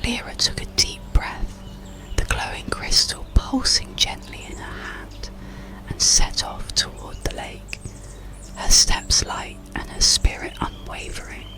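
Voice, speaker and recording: A narrator reading a bedtime story in a breathy ASMR whisper, soothing, measured and unhurried. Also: pushed and bright. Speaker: audiobook narrator.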